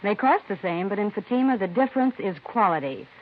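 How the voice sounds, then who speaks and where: A woman's voice: medium pitch, expressive, a little fast. A woman giving a scripted advertising testimonial in 1950s radio style. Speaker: cigarette testimonial speaker. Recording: muffled old radio recording.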